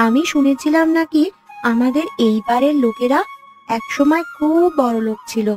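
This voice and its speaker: A woman voices an earnest young village boy in a cartoon fairy tale. The voice is on the high side, thoughtful and explanatory, with music playing underneath. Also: normal speed, a little mellow, natural voice. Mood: thoughtful, anxious.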